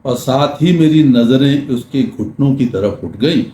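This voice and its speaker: An older man giving a literary talk in measured, formal diction with deliberate pauses, reading prose and reciting verse. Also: medium pitch, animated, mellow. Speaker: lecturer. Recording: roomy echo with faint background noise.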